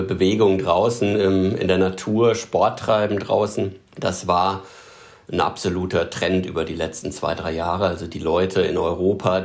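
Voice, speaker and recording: Low-voiced male consultant, hesitant, lots of restarts. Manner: halting, restarts with fillers. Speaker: industry consultant. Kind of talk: interview answers.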